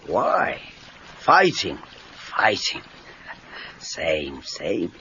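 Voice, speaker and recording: An actor playing a gruff, wise, teasing older Japanese karate teacher in a read-along cassette drama, with a Japanese accent and clipped broken English. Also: male voice, deep voice, wildly swooping pitch, very slow, bright, forceful tone, full character voice. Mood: wry, calm. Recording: dull cassette tape with faint noise.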